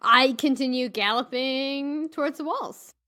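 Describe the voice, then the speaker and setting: A woman's voice: sits high, measured pace, pushed and bright. A woman playing a tabletop role-playing game, describing what her character does. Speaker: tabletop game player, podcast host.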